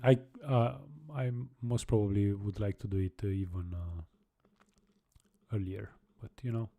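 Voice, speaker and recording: Brief: young male developer, mellow low voice, halting relaxed chat with viewers. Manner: halting, many restarts and repeated words. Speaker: live-streaming developer. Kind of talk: casual live-stream chat answering viewers.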